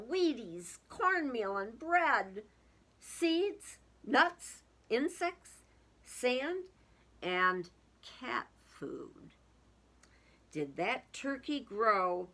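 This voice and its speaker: Woman, children's read-aloud, bright tone, very lively intonation, unhurried pace, emphatic storyteller. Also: on the high side. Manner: deliberate, emphatic, dramatic word-by-word delivery. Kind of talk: expressive picture-book read-aloud with explanatory asides.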